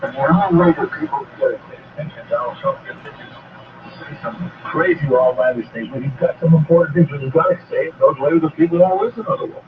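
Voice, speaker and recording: A man's voice: fairly high pitch, huge pitch swings, fast, recorded from a distance, dull audio, background noise. A man hosting a call-in radio talk show, speaking in an emphatic, exhorting, repetitive way, heard over a shortwave broadcast. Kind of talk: impassioned political talk radio monologue with call-in appeals.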